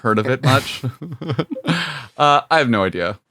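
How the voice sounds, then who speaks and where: A man's voice: mid-range voice, expressive, a little slow, sharp tone, no room sound, studio quality. A man co-hosting a casual podcast who thinks aloud, hedging his guesses and joking. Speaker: podcast co-host.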